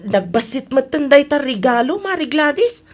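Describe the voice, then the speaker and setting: A woman playing an excitable, curious village woman in a comedy radio drama, with a Filipino accent and expressive, drawn-out exclamations. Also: sits high. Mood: doubtful.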